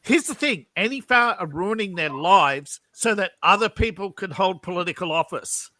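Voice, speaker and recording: A man's voice: sits high, animated, moderate pace, sharp tone, no room sound. A man in his fifties in a casual group chat, chuckling often and ranting in bursts about his views. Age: 50s. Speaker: participant in an online group chat.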